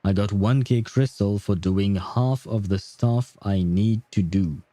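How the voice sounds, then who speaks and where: A man's voice: on the low side, a little slow, mellow, no room sound. A man hosting a casual gaming livestream, chatting with viewers in a rambling, hesitant way. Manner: hesitant, rambling, chuckling often. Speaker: livestream host.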